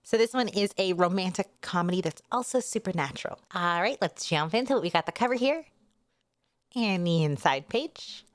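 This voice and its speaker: A woman with a somewhat low voice hosts a readalong. She narrates like a storyteller and keeps her delivery casual and expressive. The sound is very dry, with no room echo. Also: moderate pace. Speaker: VTuber host reading a light novel aloud.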